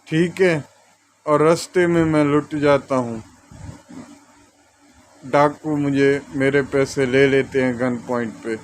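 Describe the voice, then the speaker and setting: A man's voice: on the high side, slight background noise. A man with a Pakistani accent giving an informal law lesson, explaining with examples and mixing in English legal terms. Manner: code-switches between Urdu and English legal terms, reading out clauses deliberately. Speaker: law lecturer.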